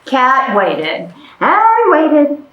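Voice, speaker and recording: A woman's voice: sits high, huge pitch swings, very slow, highs cut off, slight background noise. A woman presenting a children's storytime in an exaggerated, playful way, often putting questions to young listeners. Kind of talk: animated children's storytime, reading and acting out. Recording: roomy, echoing room sound.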